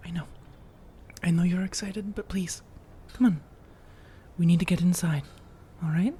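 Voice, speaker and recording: A woman playing a seductive, teasing vampire roommate in soft-spoken ASMR roleplay, coaxing and reassuring, often drifting into whispers. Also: fairly low pitch, normal speed, slight background noise, close to an everyday voice. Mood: coaxing, soothing.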